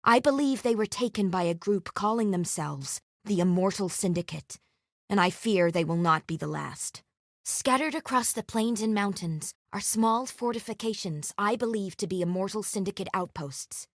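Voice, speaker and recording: A solemn woman warrior of a fantasy video game. She speaks in formal, measured phrases with earnest conviction and a strong sense of honor. The voice sits at a middle pitch, in a dry sound free of room echo. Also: expressive. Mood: grave, formal. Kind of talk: scripted game character dialogue.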